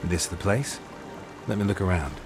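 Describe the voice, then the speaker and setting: A man playing a stoic, gruff monster hunter in a video game, speaking in terse, restrained, gravelly lines. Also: sits low, normal speed, music in the background. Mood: curious, dry. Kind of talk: video game cutscene acting.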